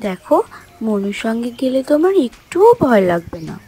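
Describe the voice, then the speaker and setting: Woman as a sweet, high-voiced cartoon girl. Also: sing-song, moderate pace, dark tone, slightly rough, faint music behind. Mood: caring. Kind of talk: children's fairy-tale cartoon acting.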